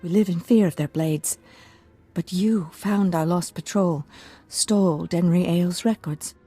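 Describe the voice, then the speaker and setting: Husky, mellow, earnest noblewoman. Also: lowish voice, measured pace, faint music behind. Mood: earnest, frustrated. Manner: earnest, urging. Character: frustrated noblewoman, a baron's earnest, persuasive wife. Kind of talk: dramatic video game acting.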